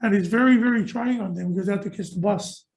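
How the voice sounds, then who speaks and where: A man's voice: high-pitched, fast, gravelly. A man from Hawaii speaking as a state senator at a hearing, earnest and conversational, with informal phrasing. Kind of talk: legislative hearing comment. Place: hearing room.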